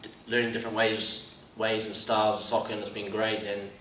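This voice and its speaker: A man's voice: fairly low pitch, little pitch movement, a little fast, a bit bright, a little hiss or hum. A young man from New Zealand with a New Zealand accent, a college soccer player giving upbeat interview answers that often repeat "definitely". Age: young adult. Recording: echoey room, mic far off.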